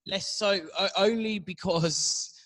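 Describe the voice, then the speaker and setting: A man in casual conversation, speaking haltingly and stammering through many false starts. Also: high voice, fairly even intonation, fairly bright tone, dry recording. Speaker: panel guest who works as a business analyst.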